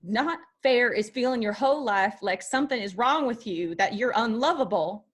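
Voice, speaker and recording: A woman acts a young daughter in a dramatic table read. She is hurt and confrontational, searching for answers, with a fairly high voice. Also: expressive, normal speed. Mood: hurt, bitter. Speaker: actor in a screenplay table read.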